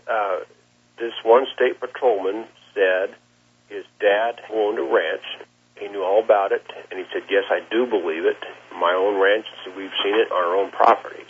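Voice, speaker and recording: A plain-spoken rancher, a man, talks in a rough, husky voice. He gives his account conversationally, stopping and repeating words as he goes. The sound is narrow and muffled, like a lo-fi phone call. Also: lowish voice. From Nebraska, United States. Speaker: cattle rancher being interviewed. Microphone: telephone. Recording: muffled, lo-fi phone interview line.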